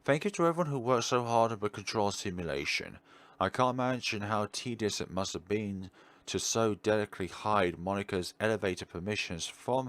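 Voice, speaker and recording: A man giving casual playthrough commentary as a gaming video host, thinking aloud with questions and trailing pauses. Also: on the low side, a bit bright, no room sound. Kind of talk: casual playthrough commentary, partly reading on-screen text aloud.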